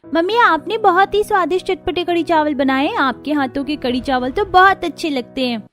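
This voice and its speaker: A woman's voice: very high-pitched, brisk, fairly bright tone. A woman voicing an eager, pleading little child in a cartoon story. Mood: happy. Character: eager little child who adores lassi and home food. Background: music.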